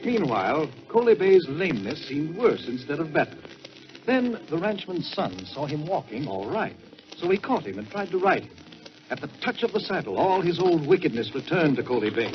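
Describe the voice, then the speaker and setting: A man narrates in the grand manner of 1940s radio storytelling. His voice sits fairly high and swings widely in pitch, leaning on key words and pausing for effect. The recording is muffled and vintage. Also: very animated. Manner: theatrical, emphatic, deliberate pauses for effect. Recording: dull old-time radio recording with music.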